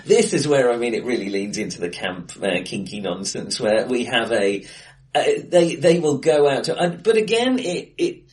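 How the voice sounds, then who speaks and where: A man co-hosting a casual film discussion, speaking in a hesitant, halting but enthusiastic way in a bright-sounding room. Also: mid-range voice, big pitch swings. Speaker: podcast co-host. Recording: distant, bright echoey room.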